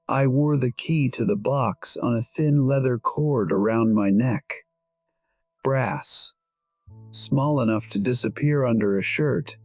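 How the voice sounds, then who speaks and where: Guy playing an older musician in his 60s, wistful n wry, slow audio drama storytelling, soft music behind. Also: medium pitch. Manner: reflective, measured, dryly wry. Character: wistful 62-year-old male musician narrating his life. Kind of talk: scripted first-person storytelling. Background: instrumental music.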